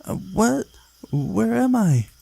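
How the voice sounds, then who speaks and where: A man's voice: sits high, very animated, slow, vocal fry, dark tone, dry recording, voice pushed up, full character voice. A man voicing a bewildered, earnest, bookish teenage boy from a visual novel, confused and hesitant. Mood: groggy. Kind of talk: visual novel character read-aloud.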